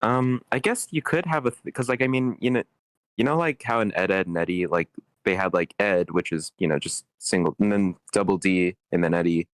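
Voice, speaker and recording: A man's voice: low-pitched, fairly quick, no echo. A man giving casual, slangy feedback in an online meeting, rambling and stammering as he goes. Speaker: participant giving script feedback.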